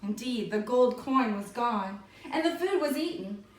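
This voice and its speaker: Lady reading a kids story out loud, high voice, expressive, roomy and a bit far off. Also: a little slow. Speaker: storybook reader for schoolchildren. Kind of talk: expressive children's read-aloud. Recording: echoey room, distant microphone.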